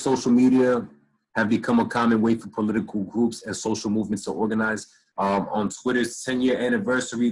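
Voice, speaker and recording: A man's voice: lowish voice, breathy edge, low-quality recording. A tired young man teaching an online class, reading passages aloud and then explaining them in loose, slangy talk with slightly slurred words, recorded on a laptop video call. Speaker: instructor. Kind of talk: casual online lecture reading from web pages.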